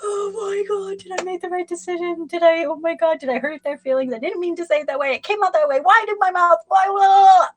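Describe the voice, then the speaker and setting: A woman's voice: very high-pitched, a little fast, hardly any pauses, pitched above the performer's own voice. A woman voicing the frantic inner thoughts of an anxious, self-doubting person, whiny and gasping with panic. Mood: panicked.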